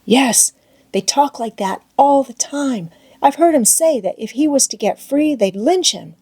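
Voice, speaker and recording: Woman as anxious storybook boy, raised pitch, folksy dialect, eager, earnest, mellow tone. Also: lively intonation, measured pace, breathy edge, no echo, clean studio sound, full character voice. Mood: worried, pitying. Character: Tom Sawyer, anxious, earnest young boy.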